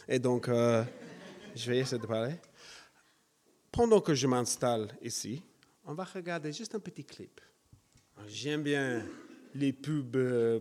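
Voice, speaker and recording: A man preaching a sermon in a church, hesitant with many fillers and self-deprecating. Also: mid-range voice.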